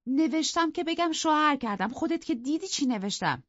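A man reading an audiobook, voicing an indignant, spoiled young woman in a shrill, pleading voice. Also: lively intonation, a bit bright, no echo. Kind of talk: audiobook character voice. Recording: dull dry studio recording.

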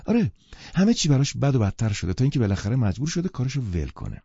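A man voicing a cool, worldly young woman who speaks in a brisk, knowing way, in a dull lo-fi recording. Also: mid-range voice, very animated, warm tone, slightly rough, no room sound, pitched above the performer's own voice.

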